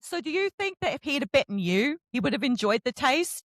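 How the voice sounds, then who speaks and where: Woman interviewer, kinda high voice that swings all over, gushy and chatty about books. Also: a little fast, no room sound. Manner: effusive, expressive. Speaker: interviewer, book show host. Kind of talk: casual enthusiastic interview chat.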